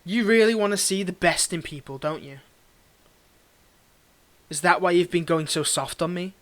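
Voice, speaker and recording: A man's voice: high voice, normal speed. A man playing a cold, domineering tsundere villain in an audio roleplay, condescending and theatrical, secretly fond of the listener he addresses intimately. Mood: scornful, intense. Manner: condescending, theatrical, swinging between ranting and hushed murmurs.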